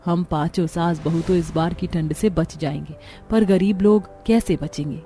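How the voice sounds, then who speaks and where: A woman's voice: lowish voice, dark tone, natural voice. A woman voicing a kind, resourceful young village daughter-in-law in a cartoon story, earnest and caring.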